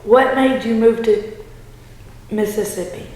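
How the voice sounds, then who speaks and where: A woman's voice: a little high, unhurried, darkish tone, low-quality recording. A young woman working as an interviewer, reading short prepared questions.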